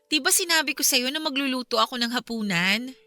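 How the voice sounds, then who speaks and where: A woman's voice: sits high, lively intonation, brisk, sharp tone, no echo, heavily acted. An actress playing a hurt, neglected young wife and mother in a Filipino radio drama, with a plaintive, emotional delivery. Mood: hurt, reproachful. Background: music.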